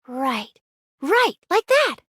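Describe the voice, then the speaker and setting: A woman's voice: high-pitched, big pitch swings, very slow, a bit bright, dry recording, studio quality, heavily acted. An actress voicing a peppy, encouraging young woman in a game, with a bubbly, coaxing manner. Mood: cheerful, coaxing. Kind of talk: scripted game character voice line.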